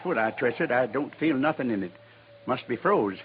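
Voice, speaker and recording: An actor playing a cantankerous old American buffalo hunter in a radio western, talking in gruff, folksy frontier dialect. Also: male voice, medium pitch, lively intonation, moderate pace, lightly acted. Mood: grudging, bitter. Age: older. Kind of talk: 1950s radio western acting. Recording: muffled vintage radio recording, faint noise.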